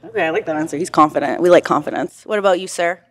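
A woman's voice: sits low, very fast, fairly bright tone. Playful young woman, native Persian speaker.

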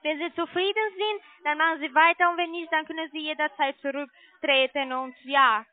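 A non-native German-speaking woman giving a hesitant sales pitch over the telephone as a call centre agent. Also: fairly even intonation. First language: not German. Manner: hesitant, halting. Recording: muffled narrowband phone line.

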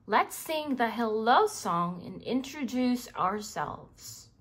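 Slow, lively, high-pitched woman reading to children. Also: slightly rough. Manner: expressive, addresses the child listener with questions. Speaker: children's book reader. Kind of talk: scripted read-aloud narration for young children.

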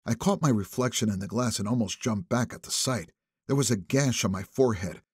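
A man narrating a thriller audiobook in the first person, with expressive, suspenseful phrasing. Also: fairly low pitch, a little fast, husky, dry recording, studio quality.

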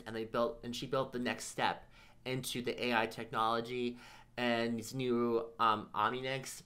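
A man's voice: mid-range voice, sharp tone. A man in his thirties giving casual reaction commentary as a video host in a small room. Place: small plain indoor room.

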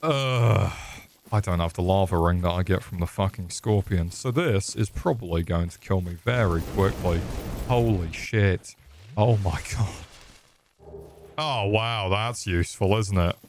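Man, sarcastic, crude, slow drawl, low-ish voice. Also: darkish tone, slight background noise. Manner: sweary, sarcastic, crude jokes. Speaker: streamer. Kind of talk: swearing live gameplay commentary.

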